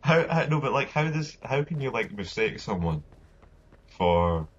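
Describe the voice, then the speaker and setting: A man's voice: mid-range voice, very animated, unhurried, highs cut off, noisy background. A young man with a voice that sounds older than his age, joking and bantering in casual gaming commentary chat. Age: young adult. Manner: joking, banters and winds others up. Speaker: co-host.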